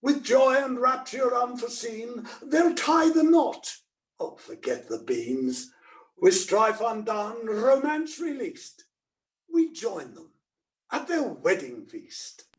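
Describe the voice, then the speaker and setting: A man acting a grand, cheerful pantomime narrator, declaiming measured rhyming verse in an amateur theatrical style. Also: extremely high pitch, very animated, full character voice. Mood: grand, festive. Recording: echoey, distant home video-call recording.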